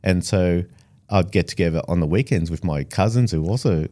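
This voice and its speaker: A man's voice: sits low, moderate pace, warm tone. A middle-aged Australian man, first language Italian, talking casually as an interview guest with many fillers and restarts. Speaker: engineer guest.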